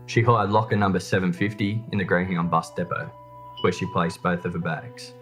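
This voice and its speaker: A man reading scripted true crime documentary narration. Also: low-pitched. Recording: music bed under the voice. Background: background music.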